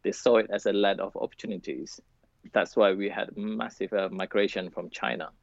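Guy explaining history slowly w/ ums, gravelly, dry lo-fi sound. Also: on the low side, fairly even intonation, no room sound. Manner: hesitant, explanatory, with fillers. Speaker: interviewee. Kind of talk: interview.

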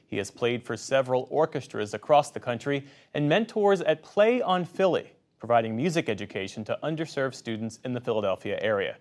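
A man's voice: mid-range voice, animated, brisk, few pauses. Man with a polished newsreader intro. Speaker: news program announcer. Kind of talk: scripted introduction.

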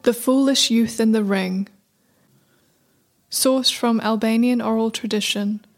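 A woman's voice: a little high, steady tone, measured pace. A woman narrator reading a scripted radio play in a storybook style. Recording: faint music under narration.

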